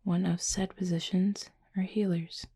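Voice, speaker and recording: A woman playing a gentle, wistful Cupid roommate in an intimate ASMR roleplay, speaking softly and slowly in a breathy near-whisper. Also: on the low side, flat delivery. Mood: calm, explanatory. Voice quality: soft, breathy, husky, often near-whisper. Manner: slow, measured, formal storytelling with soft pauses.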